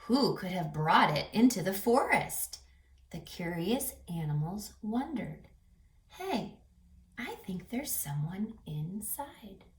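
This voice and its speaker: Echoey, animated woman reading to children. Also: medium pitch, slow pace. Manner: expressive, teacherly, explains words and asks questions. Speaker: reading teacher. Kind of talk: children's read-aloud with questions to young listeners. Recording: echoey room, distant mic, music.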